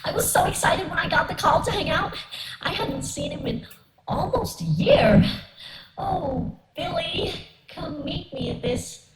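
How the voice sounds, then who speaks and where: A woman's voice: high voice, animated, a little slow, reverberant, low-quality recording. A woman playing an eager, insecure young boy in a radio play, earnest and childlike. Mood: wistful.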